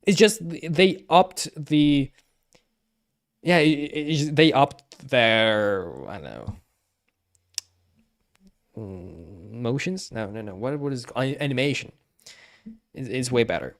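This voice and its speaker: A male streamer talks casually through his opinions on a live stream. His delivery is halting and repetitive, often doubling back to second-guess what he has just said. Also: medium pitch.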